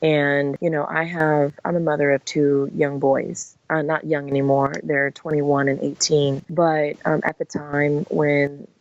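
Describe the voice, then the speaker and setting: A woman's voice: deep voice, flat delivery, measured pace, hardly any pauses. A woman in her forties, originally from Vietnam, answering interview questions in an earnest, reflective way with some hesitations. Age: 40s. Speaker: guest. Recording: dry, muffled lo-fi sound.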